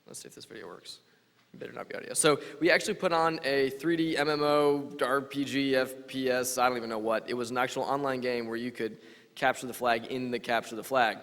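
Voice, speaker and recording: Fast-talking guy presenting on stage, super casual, big hall echo. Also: medium pitch, a bit bright. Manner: rapid, casual, enthusiastic. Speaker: capture the flag organizer. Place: large conference hall. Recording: reverberant conference hall.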